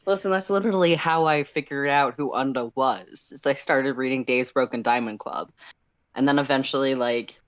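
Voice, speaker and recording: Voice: medium pitch, moderate pace, muffled recording. A story narrator of unclear sex with a mid-range voice. They read fan fiction aloud and talk casually as a co-host of a stream.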